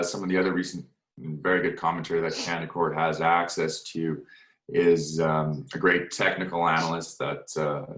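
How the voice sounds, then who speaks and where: Really deep guy, flat voice, echoey room, asking questions on a call. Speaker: co-host financial advisor.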